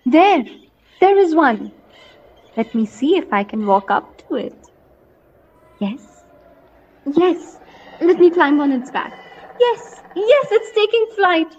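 An actress playing a woman hunter who stalks her target and marvels at winged creatures, thinking aloud in deliberate short phrases in an audio drama. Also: high-pitched, heavily acted. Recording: dull lo-fi recording.

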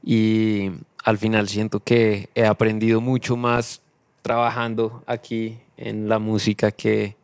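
A young man with a Colombian accent, a band member guest, speaking calmly and reflectively. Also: lowish voice, clear, resonant tone, no echo. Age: young adult. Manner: calm, measured, reflective.

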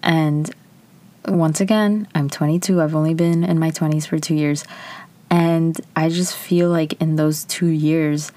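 Low-voiced chatty woman in her twenties. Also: steady tone, measured pace, dark tone, no room sound. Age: 20s. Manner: chatty, candid, frequent "like" fillers. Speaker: vlogger. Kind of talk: casual personal reflection.

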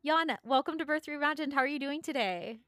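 A woman's voice: high-pitched, fairly quick, a bit bright, dry recording, studio quality. A woman hosting a podcast interview, friendly and encouraging with her guest. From California. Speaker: podcast host.

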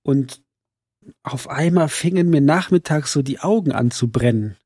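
A hoarse man who is coming down with a cold, co-hosting a podcast in casual, teasing chat with halting restarts. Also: fairly high pitch, animated, mellow, no echo, clean studio sound. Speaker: podcast co-host.

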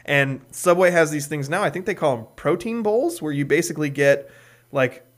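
A man's voice: fairly high pitch, normal speed. Chatty laid-back male podcast host. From Hawaii. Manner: chatty, laughs while talking, puts on mock voices. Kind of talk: casual chat.

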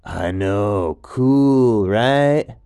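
A man's voice: lowish voice, animated, extremely slow, gravelly, dark tone, clear, resonant tone, no echo, clean studio sound, full character voice. A man voicing a friendly little gecko in a bedtime story, with an easygoing surfer-dude way of talking. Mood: breezy. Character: laid-back, friendly little green gecko on vacation.

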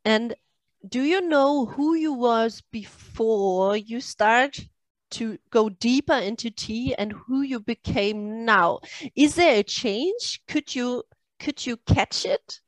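A middle-aged woman hosts a casual interview. She speaks slowly in a slightly high voice, with halting phrasing. The sound is dry. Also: no room sound. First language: German. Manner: halting phrasing in non-native English. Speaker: host interviewing a guest.

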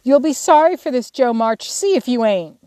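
A woman's voice: mid-range voice, normal speed, darkish tone, dry recording, clean studio sound, lightly acted. A woman voicing a petulant, pleading little girl, the stubborn youngest sister in a storybook. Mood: threatening.

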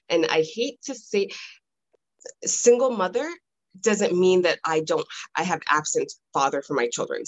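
A woman's voice: low voice, highs cut off. Chatty woman with frequent laughs. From Toronto, Canada. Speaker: podcast guest. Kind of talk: casual self-introduction.

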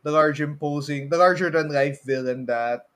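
A man's voice: a little high, normal speed, fairly bright tone. A man with a Filipino accent co-hosting a podcast in a casual chat, often hesitating and repeating his phrases. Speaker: podcast co-host. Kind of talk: casual movie discussion chat.

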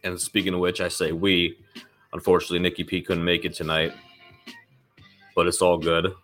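A man's voice: sits low, level tone, normal speed, a bit bright, soft background music. A man hosting his own podcast in loose, rambling casual talk, swearing freely and sounding slightly nasal from a lingering cold. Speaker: podcast host.

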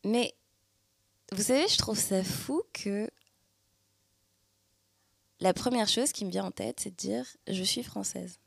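A young adult woman from the Paris region talking through a personal reflection in a thoughtful, searching way, with many pauses and fillers. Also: mid-range voice, vocal fry, slightly rough, no echo. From Paris region, France. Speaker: speaker sharing personal testimony.

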